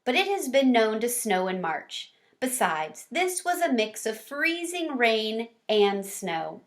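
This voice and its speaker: A woman's voice: on the high side, sing-song, slow pace. A woman voicing a smart, earnest small schoolboy who speaks precisely in a storybook. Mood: matter-of-fact. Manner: precise, informative.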